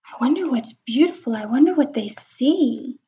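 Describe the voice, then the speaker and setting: Female storyteller for young kids, high voice, expressive and wondering, chatty asides, slightly muffled lo-fi sound. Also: normal speed. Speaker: read-aloud storyteller. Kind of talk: children's picture book read-aloud with chatty asides. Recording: dull lo-fi recording.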